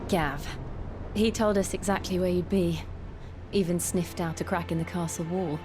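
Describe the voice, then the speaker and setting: A woman's voice: lowish voice, fairly even intonation, moderate pace, lightly acted. A woman playing a steadfast young heroine in a fantasy game, earnest and determined. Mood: warm relief. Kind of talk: dramatic fantasy game voice acting. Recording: music over dialogue.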